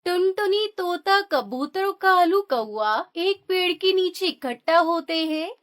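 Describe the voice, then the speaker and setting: Female narrator for children's story, very high-pitched, unhurried scripted read. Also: lively intonation, a bit bright.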